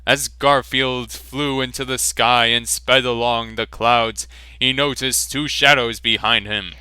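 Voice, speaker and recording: A man narrating an amateur fan fiction reading in a slow, amused, deadpan way. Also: medium pitch, clean tone, no echo.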